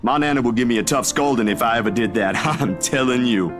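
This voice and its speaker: An actor playing an easygoing, friendly young man in a visual novel, talking in casual, expressive slang. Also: medium pitch, a little fast, music in the background, lightly acted. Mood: friendly, reassuring.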